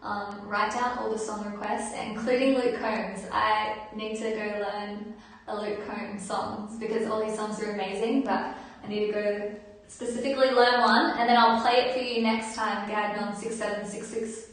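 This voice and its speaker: A woman's voice: mid-range voice, level tone, normal speed. Australian woman, very reverberant room, distant mic, casual live stream host, rambling informal chat. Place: indoors at home. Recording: very echoey room, distant microphone.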